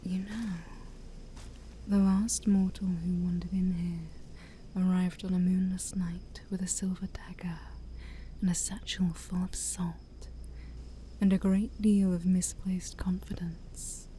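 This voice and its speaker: Woman playing a dark, breathy, ancient demon princess. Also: on the low side, little pitch movement, slight background noise, low-quality recording. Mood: boastful. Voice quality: breathy, often near-whispered. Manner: slow, teasing, haughty, theatrical. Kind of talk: soft-spoken ASMR roleplay.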